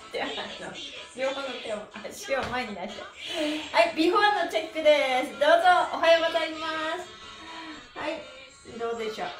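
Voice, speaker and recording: A woman's voice: high voice, echoey room. A middle-aged woman leading a live stretch class, cheerful and giggly, chatting to herself between chanted instructions. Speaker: stretching instructor and livestream host. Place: small bare-walled room indoors, seated on mat.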